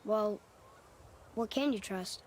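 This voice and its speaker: A man's voice: sits high, takes it slowly, slight background noise. A young male actor playing a curious, eager demigod boy in a video game, youthful, inquisitive and earnest in delivery. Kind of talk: video game character acting.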